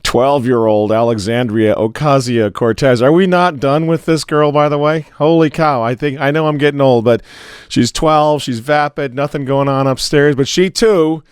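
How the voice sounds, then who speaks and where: A man, hoarse and raspy from a cold, giving sarcastic commentary on religion and politics as an editor. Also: mid-range voice, fairly even intonation, moderate pace, no echo, clean studio sound. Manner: sarcastic, mocking asides. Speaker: newspaper editor hosting commentary.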